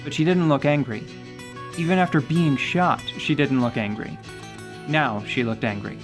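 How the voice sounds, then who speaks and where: Man narrating a fantasy tale over soft music. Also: a little high. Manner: measured storytelling delivery. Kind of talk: scripted dramatic narration. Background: light music.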